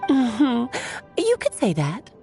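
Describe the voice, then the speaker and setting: A woman's voice: on the high side, wildly swooping pitch, takes it slowly, mellow, soft background music, exaggerated voice. A woman voicing a playful, secretive mage aunt in a video game, theatrical and teasing in her grandiose way. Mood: coy.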